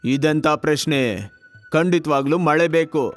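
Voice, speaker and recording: A man plays a stern older village elder in a cartoon moral tale. He speaks with weight and authority, gruffly questioning the young. Light music sits underneath. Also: a little high, fairly even intonation, unhurried, close to an everyday voice. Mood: surprised. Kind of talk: children's cartoon story dialogue.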